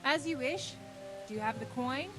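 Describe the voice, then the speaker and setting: A woman's voice: high voice, moderate pace, natural voice. An actress playing a courteous, businesslike bathhouse woman in a medieval video game. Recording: game dialogue under music.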